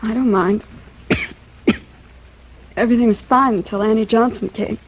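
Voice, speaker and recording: An actress as a troubled young American woman in a 1950s radio drama. Her voice is fairly high but very husky, as if from a bad cough, with a resentful edge. The recording is dull and old. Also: fairly even intonation, normal speed, close to an everyday voice. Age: young adult.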